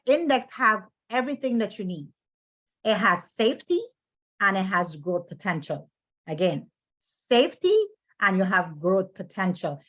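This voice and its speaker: A woman's voice: mid-range voice, fairly bright tone. A middle-aged woman with a Guyanese accent giving an informal sales presentation, driving points home with emphatic repetition. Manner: emphatic, repeats key phrases. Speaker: financial presenter.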